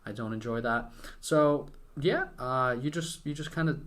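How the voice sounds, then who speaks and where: A man's voice: mid-range voice, normal speed, fairly bright tone. A young man from Western Ukraine, a native Ukrainian speaker, chatting casually and informally as a podcast guest. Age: young adult. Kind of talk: casual conversational interview.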